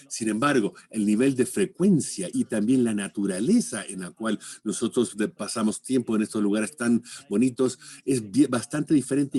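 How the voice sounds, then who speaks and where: A man interpreting a public lecture live, speaking haltingly as he searches for words and rewords his phrases. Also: medium pitch, warm tone, breathy edge.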